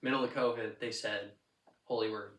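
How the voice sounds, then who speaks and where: Young pastor guy from texas, easygoing storyteller, bright voice, echoey room. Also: on the low side, moderate pace. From Texas, USA. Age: young adult. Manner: relaxed storyteller, easygoing and joking. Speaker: pastor and podcast guest. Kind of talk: casual interview talk. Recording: roomy echo, mic at a distance.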